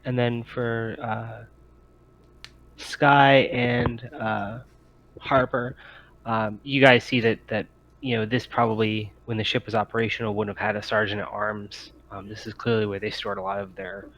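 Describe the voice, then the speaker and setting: A man acting as game master, improvising descriptions for a tabletop role-playing game in a halting way. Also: lowish voice, flat delivery, a bit bright, highs cut off, a little hiss or hum.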